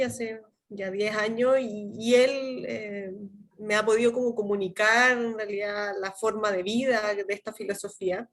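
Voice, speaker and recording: A woman introducing herself to a group on a video call, speaking thoughtfully and restarting her sentences as she searches for words. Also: medium pitch.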